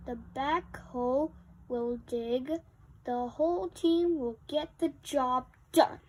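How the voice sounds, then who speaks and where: A woman's voice: high-pitched, slow, darkish tone, low-quality recording. A young girl reading a children's picture book aloud expressively, acting out the sound effects with emphasis. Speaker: storybook reader.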